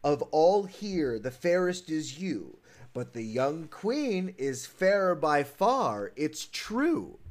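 A man voicing a magic mirror that answers a queen in slow, solemn storybook rhyme. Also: a little high, very animated.

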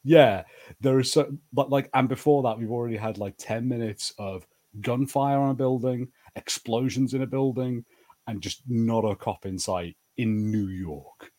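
A man's voice: medium pitch, normal speed, warm tone. A man co-hosting a podcast, giving his opinions in casual pop-culture banter. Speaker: podcast co-host.

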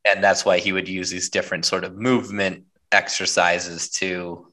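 A man's voice: low voice, moderate pace. A man in his thirties hosting a podcast interview, chatting casually and laughing often. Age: 30s. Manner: laughs often, acts out quoted people with animated voice. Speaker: podcast host.

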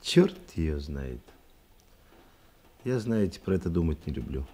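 An actor playing a reserved, kind police detective in a Russian radio play, speaking in a measured, slightly halting way. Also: male voice, very deep voice, very animated, mellow, breathy edge, exaggerated voice. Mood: calm, reserved.

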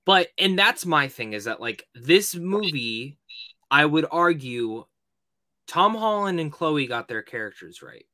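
A man's voice: on the high side, big pitch swings, unhurried, bright, forceful tone, no echo. A man co-hosting a podcast, giving emphatic opinions in casual movie talk. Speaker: podcast co-host.

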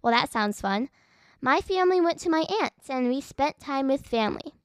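A woman's voice: high voice, animated, normal speed, no room sound, studio quality. A female co-host of a children's podcast, delivering scripted chat and narration.

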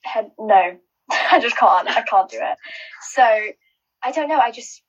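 A woman's voice: on the high side, expressive, slow, lo-fi sound. A woman who is a finalist guest, chatting casually, rambling with lots of restarts and giggles. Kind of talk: casual chat.

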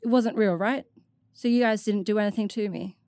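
A woman's voice: mid-range voice, a little fast, mellow, no echo, clean studio sound, close to an everyday voice. A woman playing an anxious but determined, self-doubting young adventurer in an audio drama, speaking in a hesitant, plain way. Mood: quiet relief.

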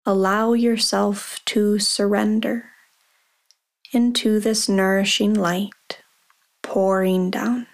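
A woman leading a guided meditation, speaking softly and calmly with long pauses. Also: mid-range voice. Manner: slow, soft and calm, with long pauses.

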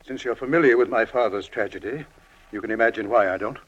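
An actor in a 1940s style radio drama playing a wealthy, middle-aged villain, formal and guarded with menace underneath. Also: male voice, medium pitch, quick pace, muffled recording, lightly acted. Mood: defensive.

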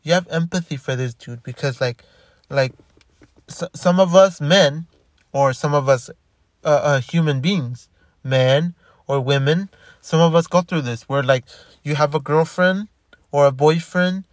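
A man with a slightly high voice rambles through a casual monologue, stumbling over his words and starting sentences again. The sound is dry and close, with no room echo. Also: a little slow. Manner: rambling, frequent restarts and repeated words. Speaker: commentator.

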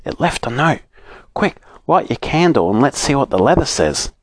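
Man voicing an earnest, high-pitched young boy. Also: sing-song, normal speed, husky, dry recording. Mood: urgent. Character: earnest, cautious young boy in a children's storybook.

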